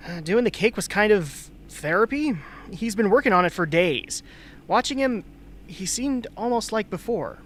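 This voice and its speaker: An actress playing a calm, matter-of-fact confidant and minder in an amateur radio play. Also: female voice, medium pitch, normal speed, lightly acted. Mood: reassuring. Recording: noisy amateur recording.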